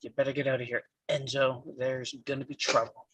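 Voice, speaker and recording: A man's voice: medium pitch, level tone, fairly quick, fairly bright tone, slight background noise, natural voice. An actor at a table read playing a resolute young man protecting his father, with a heavy stammer. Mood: urgent. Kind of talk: live table read of a film script.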